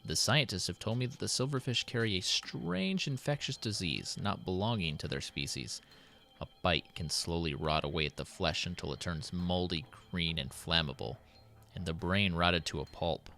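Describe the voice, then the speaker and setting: A man voicing a worried, dutiful city president who dictates his diary in a formal, measured, solemn way. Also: low voice, gravelly, pushed and bright, exaggerated voice. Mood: grave concern. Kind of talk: dramatic in-character diary reading.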